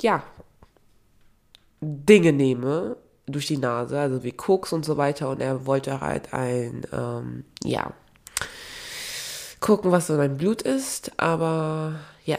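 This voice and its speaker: A young woman hosting her own podcast, chatting casually with a nasal, stuffy-nosed voice, apologetic and rambling with many fillers. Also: low voice. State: stuffy nose from a cold. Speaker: podcast host. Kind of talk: casual solo podcast chat.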